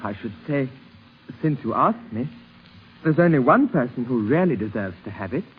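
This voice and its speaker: Hesitant grandson, breathy and echoing. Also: male voice, medium pitch, lively intonation, moderate pace. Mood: hesitant, earnest. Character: gentle, hesitant young grandson, dutiful and earnest. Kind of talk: 1950s radio drama acting. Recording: dull vintage radio, reverberant.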